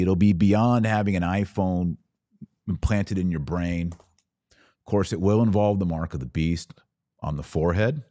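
Podcast host: American man, deep register, emphatic off-the-cuff religious commentary, very dry studio-like sound. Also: warm tone, no room sound. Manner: emphatic, stretching key words, with conversational asides.

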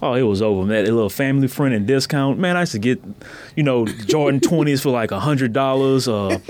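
A man's voice: medium pitch, lively intonation, fairly quick, a little mellow. A man from Louisiana, telling personal stories in a casual, slangy way as a podcast guest. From Baton Rouge, Louisiana, USA. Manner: slangy, conversational. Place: podcast studio.

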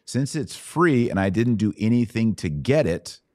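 A man's voice: fairly low pitch, very animated, moderate pace, a little mellow, no room sound, studio quality. A middle-aged American man hosting a conversational religious question session, explaining step by step and checking understanding with short questions. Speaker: host answering Bible questions.

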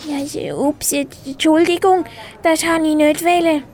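A woman's voice: high voice, steady tone, moderate pace, natural voice. A female performer with a Swiss German accent playing a small, polite, earnest bear in an amateur radio play. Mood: apologetic. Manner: polite, hesitant. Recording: faint background noise.

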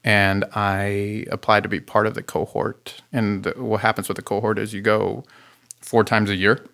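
A man in his thirties, a therapist and youth pastor, talking earnestly about his background in a relaxed interview. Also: fairly low pitch, normal speed, vocal fry. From Chile. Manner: earnest, relaxed storytelling with frequent fillers. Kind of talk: casual interview talk.

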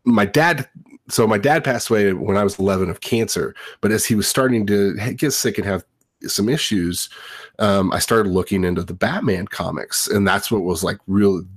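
Low-voiced man in his thirties, casual storyteller. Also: moderate pace, husky, dry recording, studio quality. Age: 30s. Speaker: podcast host.

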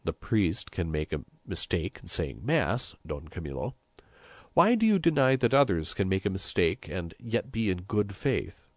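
Christ, voiced by a male narrator: low, unhurried, measured storybook figure, calm and gently reproving. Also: no echo, clean studio sound, full character voice. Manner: measured, reasoning, unruffled. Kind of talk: storybook character reading.

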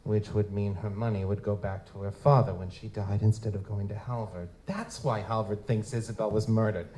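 A man's voice: on the low side, steady tone, moderate pace, mellow, natural voice, poor audio. A man playing a streetwise, cheeky lutin goblin private investigator, narrating and talking in a casual, wisecracking noir style for an audio drama. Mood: curious, casual. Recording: music behind, live stage performance.

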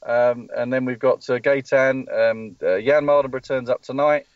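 A man calls in from a race track as a team spokesperson, rambling with plenty of ums and restarts. His voice sits in a middle pitch. The line is dull and lo-fi, with a dry sound. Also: moderate pace, dry recording. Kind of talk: live call-in interview. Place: at a race track testing session.

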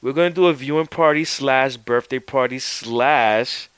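A man's voice: mid-range voice, measured pace, fairly bright tone, smooth, no room sound. A man co-hosting a casual wrestling podcast in a laid-back way. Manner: laid-back, laughs often. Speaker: podcast co-host.

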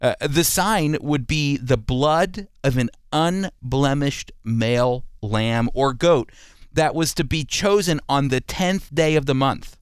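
A man's voice: mid-range voice, takes it slowly, smooth, no echo, studio quality. A man hosting a radio program, teaching the Bible and commenting in an earnest, emphatic, explanatory way.

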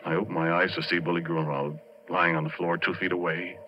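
A man playing a folksy working-class oil driller, telling an eerie tale in halting, ungrammatical speech as 1940s radio drama. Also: deep voice, little pitch movement, slight background noise, natural voice. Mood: grim, shaken. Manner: colloquial, ungrammatical, halting, confiding. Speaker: narrator and lead character of a radio drama. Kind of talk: 1940s radio drama first-person storytelling. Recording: dull 1940s radio recording with music.

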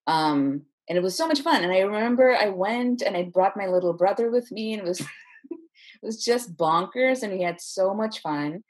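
A woman's voice: mid-range voice, lively intonation, normal speed, husky. A woman in her thirties from India, telling her story casually and chattily as an interview guest. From Chennai, India. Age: 30s. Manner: informal, chatty, often says "like".

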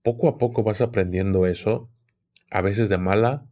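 A man's voice: on the low side, fairly even intonation, moderate pace, mellow. Man, podcast guest, reflective, everyday examples, laughs often. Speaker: podcast guest, occultism channel host. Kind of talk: casual interview chat.

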